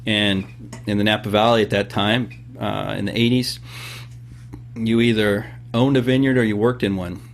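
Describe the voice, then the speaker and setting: A man in his fifties from California, explaining things at length in a relaxed interview, with many pauses and fillers. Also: lowish voice, a little hiss or hum. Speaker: podcast guest and host of his own show. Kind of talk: casual interview talk.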